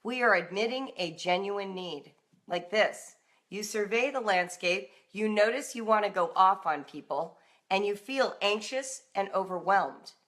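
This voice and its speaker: A middle-aged woman with an American accent talks in an informal coaching style. Her mid-pitched voice is direct and conversational, and she stresses her points as she addresses listeners. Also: lively intonation, unhurried, fairly bright tone. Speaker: parenting and homeschool coach, live broadcast host. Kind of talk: informal live coaching talk with acted-out example dialogue.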